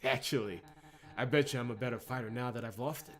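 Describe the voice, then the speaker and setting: A man's voice: mid-range voice, fast talker, a bit bright, natural voice. A man voicing a brash, foul-mouthed young woman fighter from a visual novel, blunt and mocking. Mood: cocky. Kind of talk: visual novel character reading.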